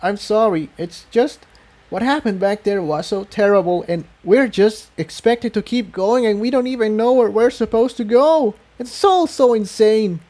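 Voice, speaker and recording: A man's voice: high voice, moderate pace, warm tone, voice pushed up, natural voice. A man voicing an anxious, caring young female pony in a storybook reading, earnest and questioning. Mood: distraught.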